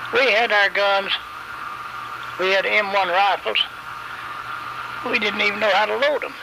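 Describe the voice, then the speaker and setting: Creaky older Kentucky man reminiscing. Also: high voice, background noise. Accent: rural Kentucky. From Kentucky, USA. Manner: plainspoken, halting, folksy storytelling. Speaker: eyewitness interviewee, war veteran. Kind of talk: unscripted personal reminiscence. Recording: radio interview over music bed. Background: background music.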